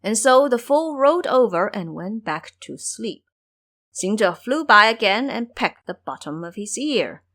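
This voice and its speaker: A woman narrates an audio drama in a scripted storytelling style. Her pitch is very lively, rising and falling across each sentence. It sits in a middle range for a woman. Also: measured pace.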